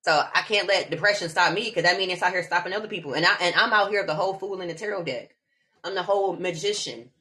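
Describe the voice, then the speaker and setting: Lady from omaha, low voice, animated slangy chat. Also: quick pace. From Omaha, Nebraska. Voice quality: animated, slangy, spiritual talk with bursts of laughter. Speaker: laughter yoga teacher. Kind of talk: casual chat.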